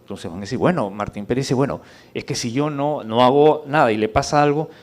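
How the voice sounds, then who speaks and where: A man lecturing in public, didactic and rhetorical, with deliberate pauses, emphasis and questions to check that listeners follow. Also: medium pitch. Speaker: conference speaker.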